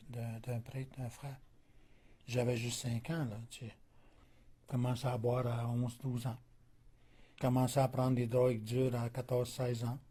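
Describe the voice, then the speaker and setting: Warm, husky man in his sixties. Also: medium pitch. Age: 60s. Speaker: residential school survivor. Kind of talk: testimony.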